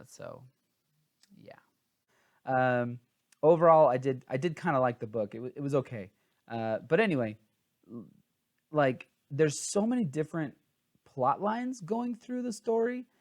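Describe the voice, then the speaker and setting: A man's voice: a little high, sing-song, a little slow, long pauses. A man chatting casually as he hosts a book review, informal and a bit scattered and hesitant, sounding tired.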